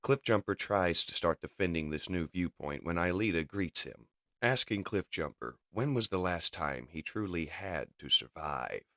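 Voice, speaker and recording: A man's voice: low voice, expressive, unhurried, bright, forceful tone, dry recording, studio quality. A man narrating a comic dub with dramatic, emphatic scripted storytelling.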